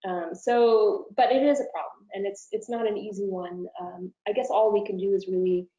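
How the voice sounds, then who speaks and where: A woman with a soft-edged, mellow voice answers as a professor being interviewed. She is informal and thoughtful, reshaping sentences as she talks. The room adds a noticeable echo around her. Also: medium pitch, steady tone, normal speed. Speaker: university professor, interview guest. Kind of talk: conversational webinar answers. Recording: roomy, echoing room sound.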